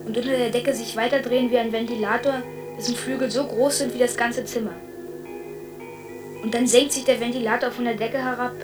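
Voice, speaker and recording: A woman's voice: a little high, recorded from a distance, close to an everyday voice, poor audio. An actress playing an anxious, dreamlike woman recounting obsessive visions in short plain phrases in a radio drama.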